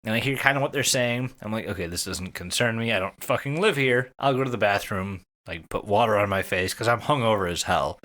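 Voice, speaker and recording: A man's voice: fairly low pitch, fairly quick, few pauses, a bit bright. A young man co-hosting a podcast, telling stories casually with swearing and jokes, acting out the dialogue as he goes. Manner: profane, jokey, acts out dialogue. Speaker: podcast co-host. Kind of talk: animated casual storytelling banter.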